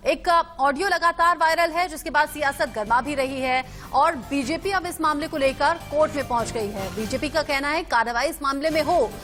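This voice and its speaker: High-pitched woman news anchor. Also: moderate pace, few pauses, fairly bright tone, faint music behind. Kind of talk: Hindi television news presentation.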